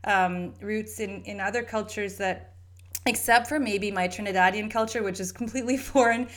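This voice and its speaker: A woman's voice: mid-range voice, steady tone, moderate pace. A woman from Canada hosting her own podcast, telling her story in a rambling, earnest way with many restarts. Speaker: podcast host and holistic health practitioner. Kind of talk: casual solo podcast monologue.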